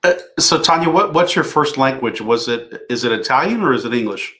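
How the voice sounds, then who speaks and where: A man hosting a casual livestream Q&A, talking things through in a relaxed way with plenty of fillers. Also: medium pitch, brisk. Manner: relaxed, thinking aloud with fillers. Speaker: host.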